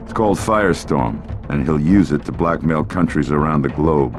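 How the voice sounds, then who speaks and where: A man's voice: a bass voice, moderate pace. An actor playing a grave, protective middle-aged military commander and regretful father in a video game. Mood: grave, briefing. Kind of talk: dramatic video game cutscene acting. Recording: music under the voice.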